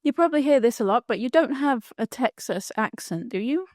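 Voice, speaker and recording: A woman's voice: fairly high pitch, lively intonation, a little fast, darkish tone, no echo, studio quality. A woman hosting a podcast, asking curious, friendly interview questions. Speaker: podcast host.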